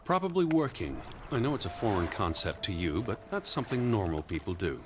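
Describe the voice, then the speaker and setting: An actor playing an earnest, cautious man traveling in the 1920s, speaking in a measured, formal, dry way in a video game. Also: on the low side, sing-song, background noise.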